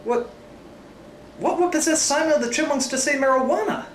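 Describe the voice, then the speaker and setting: A man's voice: very high-pitched, fairly quick. An American man hosting a scripted comedy review, theatrically incredulous and given to exasperated ranting. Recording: roomy echo, faint background noise.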